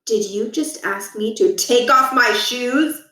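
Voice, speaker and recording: A woman's voice: fairly high pitch, slow pace, pushed and bright. A woman voicing a pompous, stern male school principal in a storybook read-aloud, commanding and self-important. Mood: haughty, indignant. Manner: pompous, clipped, commanding, wordy. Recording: roomy echo, distant from the mic.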